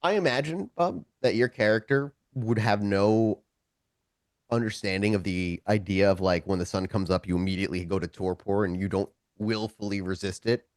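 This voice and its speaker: A man working as storyteller of a tabletop game, narrating and improvising in a casual, halting, conversational way. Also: on the low side, darkish tone, no room sound, clean studio sound.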